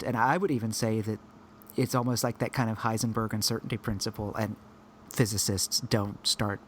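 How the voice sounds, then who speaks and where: Man co-host with faint background hiss. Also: medium pitch. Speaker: podcast co-host. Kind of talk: casual chat.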